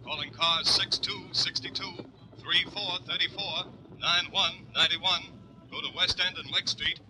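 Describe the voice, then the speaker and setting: Sharp, forceful police dispatcher. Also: male voice, high voice, steady tone, moderate pace, rough recording. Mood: official, urgent. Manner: clipped, repetitive announcing. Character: clipped, official male police radio dispatcher. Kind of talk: 1940s radio acting. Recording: sharp old radio recording, faint noise.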